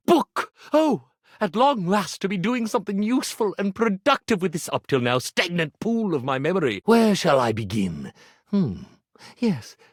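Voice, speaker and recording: A vain, theatrical magician of a living ironing board in a video game. His highish voice leaps flamboyantly up and down through melodramatic, flowery phrases and stumbles into flustered hesitation. The sound is very dry, with no room around it. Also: a bit bright, no echo, full character voice. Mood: excited, grandiose. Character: theatrical ironing board posing as grandiose stage illusionist. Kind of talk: video game character voice acting.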